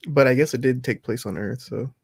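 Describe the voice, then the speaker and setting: A man's voice: mid-range voice, brisk, vocal fry, darkish tone, breathy edge, no echo. A man hosting a film review discussion in a casual, conversational style. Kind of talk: casual chat.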